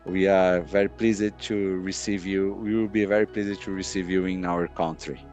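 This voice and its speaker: A middle-aged Brazilian man whose first language is Portuguese, speaking English with a Brazilian accent and often pausing to search for words on a video call. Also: low voice, fairly even intonation, darkish tone, muffled recording, faint music behind. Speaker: guest, sports lawyer. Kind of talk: podcast interview.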